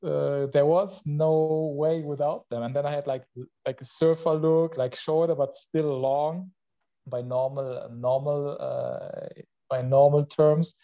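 Podcast guest: man from Poland, fairly high voice, stop-start chat, dull recording. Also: flat delivery. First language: Polish. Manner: halting, with many restarts and laughs. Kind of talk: casual chat. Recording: muffled lo-fi sound.

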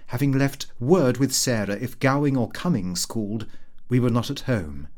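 A man reads a comic Victorian diary aloud as an audiobook. His mid-pitched voice moves around a great deal, with a prim, self-important air. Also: a little mellow. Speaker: audiobook narrator. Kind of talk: expressive scripted narration of a comic Victorian diary.

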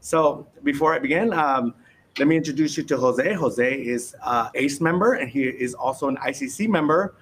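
A man's voice: medium pitch, moderate pace, fairly bright tone, slight background noise. A man hosting a live interview at a convention center, introducing his guest in a friendly, informal way. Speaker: host.

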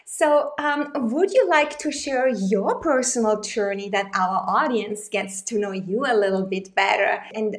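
A woman hosting an interview show, reading a formal guest introduction and then chatting with some hesitant fillers. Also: medium pitch. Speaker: podcast host.

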